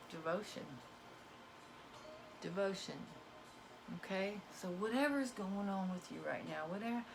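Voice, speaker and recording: A woman whose voice is wearing hoarse, with the odd cough, talks straight to her viewers as a spiritual card reader. She is emphatic and a little preachy, leaning on folksy turns of phrase. Her pitch sits in an everyday middle range. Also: noisy background. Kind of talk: casual motivational talk to viewers.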